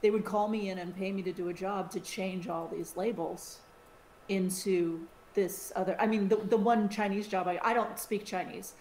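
A middle-aged woman from the United States, co-hosting a podcast in a casual, animated chat with frequent restarts and side remarks. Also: mid-range voice, normal speed. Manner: chatty, animated, with many restarts. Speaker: podcast co-host. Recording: roomy sound with faint hiss.

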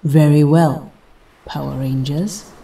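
Woman acting as stern immortal warrior guardian, audio drama, mellow and slow, bit far from the mic. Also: sits low, vocal fry, heavily acted. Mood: solemn. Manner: solemn, commanding.

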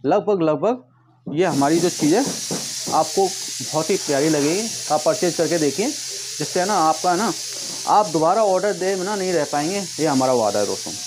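Higher-voiced man, product pitch. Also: expressive, moderate pace. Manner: repetitive filler phrases, addresses viewers as friends. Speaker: vlogger and shoe seller. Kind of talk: casual product pitch to camera. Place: tiled room at home with stock boxes, indoors. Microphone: clip-on lapel mic. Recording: noticeable background noise, clicks.

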